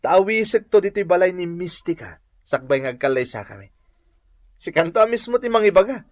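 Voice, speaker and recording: Man playing eager, high-voiced young Ilocano groom. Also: sing-song, brisk, dry recording, studio quality. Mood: confident. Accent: Filipino, Ilocano. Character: eager, lovestruck young gentleman, devoted son about to marry. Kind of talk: radio comedy drama acting.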